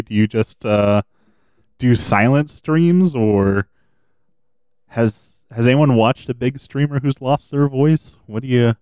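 A man streaming a video game, chatting casually and thinking aloud as he plays, his voice a little hoarse. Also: mid-range voice, darkish tone, no echo. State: slightly hoarse. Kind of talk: casual gameplay commentary.

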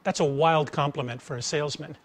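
A middle-aged man with an American accent delivers an informal talk. His voice sits a bit higher than most men's, and he explains through animated anecdotes, often stopping to pose a rhetorical question. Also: brisk. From United States. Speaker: speaker giving a talk, former engineer turned sales trainer. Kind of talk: conversational public lecture with acted-out anecdotes. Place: attic seminar room with wooden floor. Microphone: lapel mic.

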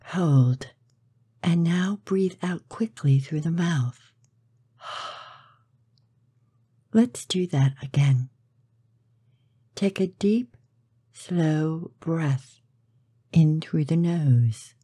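A woman leads a guided meditation in a low, very mellow and dark voice. Her soft, breathy delivery is slow and soothing, with measured pauses between phrases. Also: dry recording.

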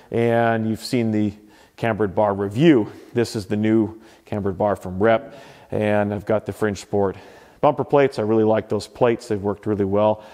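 A man's voice: lowish voice, darkish tone, in a large hall. A man walking through his garage home gym, chatting offhandedly about his equipment, with loose fillers and self-corrections. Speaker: home gym owner giving a tour. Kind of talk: casual walkthrough commentary.

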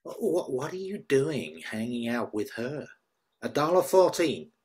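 Man playing husky, blunt cashier woman. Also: medium pitch, sing-song, unhurried, darkish tone. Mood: puzzled. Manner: blunt, questioning. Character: blunt, plain-talking small-town woman store cashier. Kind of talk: script table read. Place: indoor room at home, on a video call.

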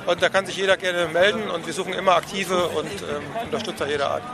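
A man giving an earnest, measured explanation as an activist in an outdoor interview. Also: high-pitched, fairly even intonation. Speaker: animal rights activist being interviewed. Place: outdoors at a demonstration stand. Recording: outdoor street recording with crowd noise.

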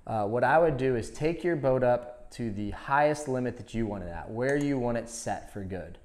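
A man's voice: mid-range voice, expressive, moderate pace, darkish tone. A man demonstrating a product in a conversational, step-by-step instructional style. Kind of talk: hands-on instructional explanation.

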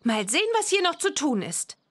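A woman playing a cheerful, eager young girl witch in a children's game, perky and clearly enunciated. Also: high-pitched, sing-song, fairly quick, a bit bright. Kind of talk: scripted children's game character acting.

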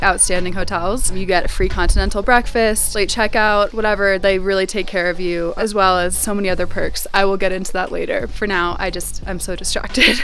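A woman's voice: mid-range voice, fairly bright tone. Young adult female travel vlogger, mid-range voice, upbeat and gushing, camera at arm's length. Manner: upbeat, gushing, laughs often, lots of "like" and "literally". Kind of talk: casual vlog narration to camera. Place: hotel room, balcony and ski slopes, in and out of doors. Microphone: handheld camera at arm's length.

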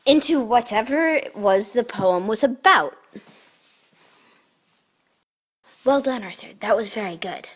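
A woman playing a wry, quick-thinking captive space traveller with a dry, hesitant manner in a sci-fi comedy. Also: a little high, expressive, slightly rough. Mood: helpful bluffing. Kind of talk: sci-fi comedy audio drama.

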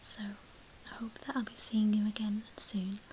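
A woman in her twenties doing an ASMR hairdresser roleplay in a soothing, breathy whisper, speaking gently and attentively to the listener. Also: medium pitch, flat delivery, normal speed, heavy background noise. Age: 20s. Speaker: ASMR creator playing a hairdresser.

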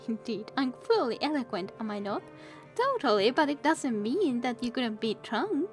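A young woman with a fairly high voice talks slowly and playfully to her viewers. She laughs easily and teases them between reading game text. Soft game music sits quietly under her voice. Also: sing-song. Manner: chatty, laughs often, teasing. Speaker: streamer. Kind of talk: casual game stream chat, reading dialogue aloud.

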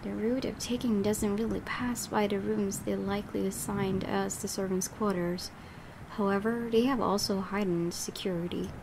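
A woman's voice: mid-range voice, steady tone, normal speed, gravelly, noisy background. A woman hosting a let's play, reading game narration aloud as dramatic storytelling, with asides. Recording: loud game ambience behind the voice.

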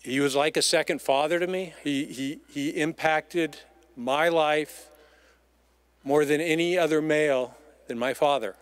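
A man's voice: a little high, a little slow, smooth, no room sound. A middle-aged man giving a heartfelt memorial tribute, speaking emphatically and repeating phrases for weight. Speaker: eulogist. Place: outdoors in an empty baseball stadium. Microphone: microphone on a stand.

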